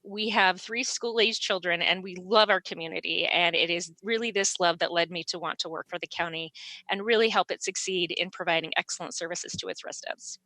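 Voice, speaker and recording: A woman in her forties gives an earnest, steady report with a few fillers. Her voice is bright and forward. The sound is dry and close, with no room echo. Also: lowish voice, a little fast. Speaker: auditor. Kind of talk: formal self-introduction at a public meeting.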